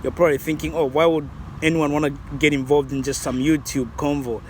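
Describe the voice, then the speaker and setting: A man in his late thirties with a fairly high-pitched voice. He muses in a loose, reflective way, circling back and restarting his thoughts. Faint traffic hums behind him outdoors. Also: level tone, brisk. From Zambia. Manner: reflective, rambling, many restarts. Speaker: vlogger. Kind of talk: casual vlog commentary.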